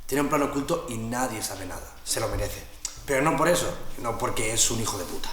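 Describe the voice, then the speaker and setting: A man's voice: mid-range voice, animated, a little fast, a bit bright, natural voice. A man playing a blunt, sweary, gossipy student who narrates a mockumentary to camera, with an accent from Spain. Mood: indignant. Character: exasperated, foul-mouthed, gossipy male student narrator. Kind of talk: mockumentary dialogue and asides to camera. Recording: roomy sound with music behind.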